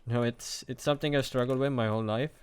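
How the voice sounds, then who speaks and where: Late-teens man speaking in a confessional vlog. His voice is smooth and clear, recorded dry with no room sound. He talks haltingly and runs himself down. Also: mid-range voice, steady tone, no room sound. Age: young adult. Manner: hesitant, halting, self-deprecating. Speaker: vlogger. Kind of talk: confessional monologue to camera.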